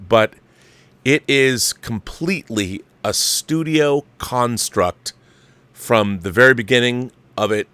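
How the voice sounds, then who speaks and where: A man's voice: fairly low pitch, fairly bright tone, dry recording. A man hosting a livestream, giving casual, opinionated film commentary with a theatrical showman's turn of phrase.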